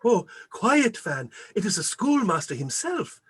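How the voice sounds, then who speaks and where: A man's voice: big pitch swings, measured pace, fairly bright tone, slightly rough, full character voice. A man playing a nervous, deferential schoolboy in a radio play, hesitant and stammering. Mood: flustered. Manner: stammering, hesitant, high-pitched.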